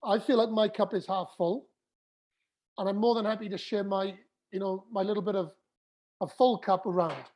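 A woman with a husky, breathy voice speaks in a relaxed conversational interview. Her pitch is ordinary and middling. She rambles warmly, circling back over her thoughts. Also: normal speed, lo-fi sound. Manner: warm, rambling, talks to her baby between thoughts.

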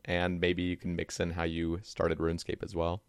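A man's voice: low voice, completely flat delivery, normal speed, clean tone, dry recording, studio quality. A man hosting a podcast, chatting casually as he interviews a guest. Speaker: podcast host.

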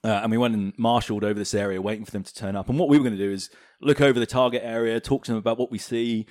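A man from Hong Kong chatting casually as an interview guest, telling anecdotes in an animated way. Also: on the low side, very fast, dry recording, clean studio sound. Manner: animated, chuckles as he tells anecdotes. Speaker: interview guest, former fighter pilot. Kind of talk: casual storytelling in a podcast interview.

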